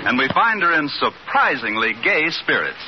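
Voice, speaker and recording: A man's voice: on the high side, sing-song, normal speed, noisy background. A man narrating a scene as the genial announcer of a 1950s radio comedy. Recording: dull old radio recording, loud music.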